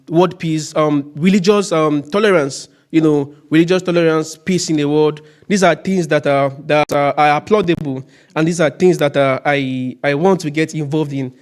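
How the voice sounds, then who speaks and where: A man from northern Nigeria introducing himself at a seminar, earnest and halting, often repeating words. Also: a little high, darkish tone. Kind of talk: speaking in a seminar introduction round.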